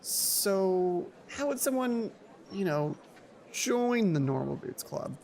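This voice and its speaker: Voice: mid-range voice, big pitch swings, slow pace, gravelly, darkish tone, heavily acted. A let's player voicing a curious, naive new schoolgirl in a visual novel, with a plain, questioning delivery.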